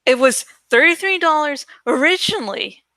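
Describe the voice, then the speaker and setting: Woman voicing a high, crowing, boastful teenage girl. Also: lively intonation, normal speed, bright, forceful tone, no echo. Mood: triumphant. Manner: crowing, emphatic. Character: brash, boastful teenage girl bargain shopper.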